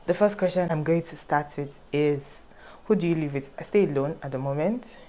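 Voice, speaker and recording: A young Nigerian woman talks casually to her vlog audience, with a low, deep voice for a woman. She reads each question aloud and then answers in a relaxed, wandering way. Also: normal speed, a little hiss or hum. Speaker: vlogger.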